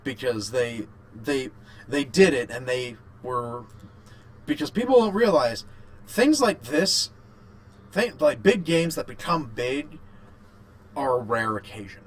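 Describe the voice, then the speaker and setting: A man co-hosting a podcast in casual chat, rambling and haltingly repeating his phrases. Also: medium pitch, animated. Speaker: podcast co-host. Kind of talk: casual chat about video games.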